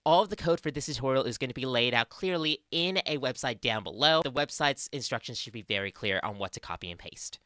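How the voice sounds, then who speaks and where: A woman's voice: a bass voice, lively intonation, brisk, few pauses, fairly bright tone, no room sound. A young adult woman with an Australian accent presenting a scripted tutorial into a close studio mic. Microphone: close studio mic on boom arm.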